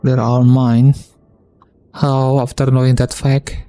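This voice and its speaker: Slow, very dark-voiced male game streamer. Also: medium pitch, level tone, soft background music. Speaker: game livestreamer. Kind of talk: casual live reaction commentary.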